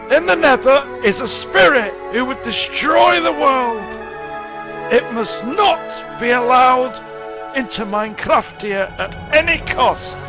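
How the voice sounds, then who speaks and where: A man's voice: very high voice, exaggerated voice. A man voicing a solemn storyteller of ancient legends, reading scripted fantasy narration in a grave, dramatic, declaiming manner. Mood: solemn, fatherly. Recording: voice over music.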